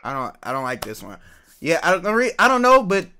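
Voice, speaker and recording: A man's voice: sits high, wildly swooping pitch, brisk, bright, forceful tone. A young man casually reviewing rap songs from a home stream, hesitant and trailing off. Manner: laid-back, hesitant, trailing off. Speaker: reaction host. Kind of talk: casual music review chat. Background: music playing.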